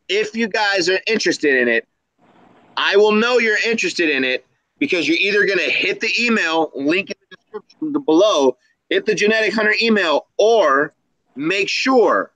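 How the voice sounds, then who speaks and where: A man's voice: a little high, pushed and bright, dry recording, poor audio. A man hosting a reptile keepers' live stream in a casual, slangy, upbeat style, hyping up the community. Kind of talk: casual live-stream chat with shout-outs.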